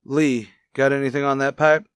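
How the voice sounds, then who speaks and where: A man playing a calm, sober police detective partner in a radio crime drama, low-key and matter-of-fact. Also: normal speed, a little hiss or hum, natural voice. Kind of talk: old-time radio crime drama acting.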